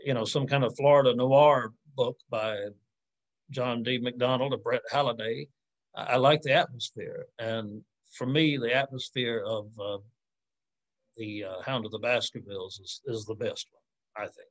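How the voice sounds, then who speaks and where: Male academic, forties, mid-range pitch, informal Q&A delivery, reflective and a little hesitant, on a video call. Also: fairly even intonation, moderate pace, low-quality recording. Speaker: academic answering audience questions. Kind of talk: informal lecture Q&A, thinking aloud. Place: indoors on a video call with virtual background.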